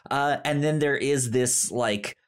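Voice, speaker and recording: A man co-hosting a podcast, talking casually and haltingly, often stammering and restarting words. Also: medium pitch, clear, resonant tone. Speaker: podcast co-host. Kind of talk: casual chat.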